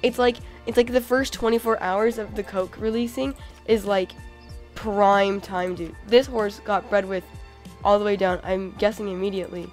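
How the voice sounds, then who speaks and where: A young woman with a hoarse, cold-worn voice, chatting casually and excitedly over her gameplay as a channel host. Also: medium pitch, moderate pace, faint music behind. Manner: excitable, many "oh my god"s and "like"s.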